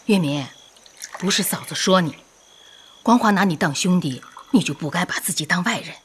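An actress playing a kindly sister-in-law and young mother in a radio drama, gentle and hospitable. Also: fairly low pitch, lively intonation, normal speed, slight background noise, natural voice. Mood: kindly, welcoming. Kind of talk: Chinese radio drama acting.

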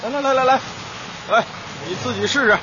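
An actor playing a caring but pushy young male teacher in a radio drama, brisk, blunt and urging. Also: very high voice, dull audio, background noise. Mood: insistent.

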